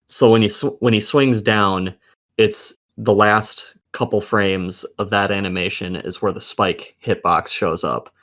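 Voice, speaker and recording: A man's voice: lowish voice, fairly even intonation, measured pace, fairly bright tone. A man co-hosting a casual gaming podcast, talking bluntly and cursing heavily.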